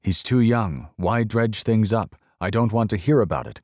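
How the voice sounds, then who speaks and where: A man voicing a protective mother speaking harshly in clipped, sharp words. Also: fairly low pitch, lively intonation, normal speed, dry recording, studio quality, voice pushed up, natural voice.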